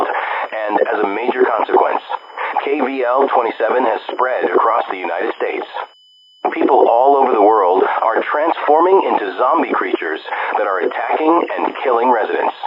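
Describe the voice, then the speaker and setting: A man of average pitch reads an emergency announcement in a formal, measured, official way. The sound is dull and lo-fi, like a radio broadcast. A faint humming noise sits behind the voice. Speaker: national emergency message announcer.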